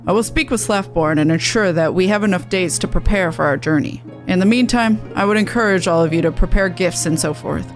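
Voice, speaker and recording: A woman reading the game dialogue of an earnest, courteous male refugee leader in a formal, measured manner. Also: fairly low pitch, over music, lightly acted. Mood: earnest, reassuring.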